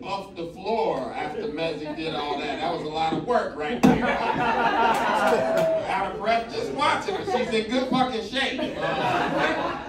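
A male comedy show host hyping up the crowd and shouting introductions for the next comic. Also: high-pitched, takes it slowly. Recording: echoey club, distant mic, loud crowd.

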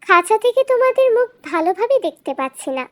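A woman's voice: very high voice, sing-song, fast, fairly bright tone, pitched above the performer's own voice, full character voice. A woman voicing a clever, cheeky little talking bird in a storybook cartoon, with a theatrical, coaxing and taunting manner. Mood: coaxing, sly.

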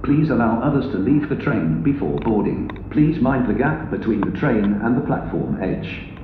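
An Englishman reading formal, measured railway station announcements over a public address system, enunciating each phrase clearly in a pieced-together, pre-recorded way. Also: medium pitch, expressive. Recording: echoey station PA, muffled, noisy.

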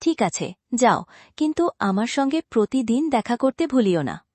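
A gentle female bird queen from a cartoon tale , voiced by a woman, speaking tenderly and with concern. The voice is fairly high and recorded dry and close. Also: big pitch swings, normal speed, no room sound. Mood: pleading. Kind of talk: dramatized cartoon story dialogue.

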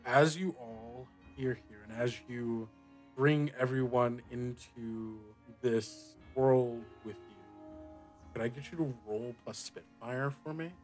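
A man acts as game master in a tabletop game, with a mid-pitched voice and faint music behind him. He improvises narration and rulings, thinking aloud in a halting way as he goes. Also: a little mellow.

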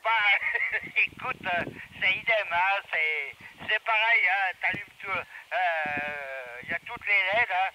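A man with a high voice comes through a walkie-talkie speaker, with clear noise around it. He chats casually as a radio operator, hesitating and repeating himself. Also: bright, forceful tone. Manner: halting, repetitive. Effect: two-way radio. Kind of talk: casual radio check chat. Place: heard through handheld radio outdoors. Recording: noisy walkie-talkie speaker picked up outdoors.

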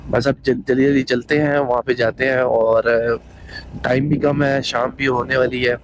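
A man's voice: medium pitch, flat delivery, moderate pace, poor audio. Male travel vlogger, enthusiastic and chatty, recorded outdoors on a mountain trail with faint background noise. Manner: chatty, enthusiastic, addresses viewers as guys. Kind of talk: casual travel vlog narration.

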